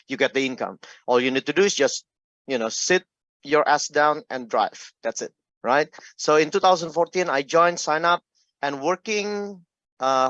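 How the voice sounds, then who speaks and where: A middle-aged man from Indonesia with an Indonesian accent, telling his personal story in an informal, halting, conversational talk. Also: a little high, a bit bright, no echo, muffled recording. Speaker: speaker giving a personal health testimony.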